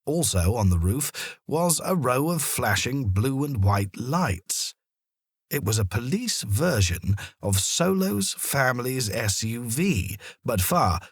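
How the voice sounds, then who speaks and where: A man narrating a children's story in an expressive storytelling manner, with deliberate pauses. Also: medium pitch, slightly rough, dry recording, studio quality. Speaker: audiobook narrator.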